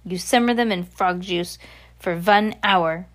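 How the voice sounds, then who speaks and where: A woman's voice: fairly low pitch, a little slow, natural voice. A woman voicing a vicious, imperious witch leader who gives orders in oddly phrased sentences, reading from a storybook. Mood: boastful, instructing. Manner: commanding, oddly phrased grammar. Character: imperious, scheming, vicious female Grand High Witch.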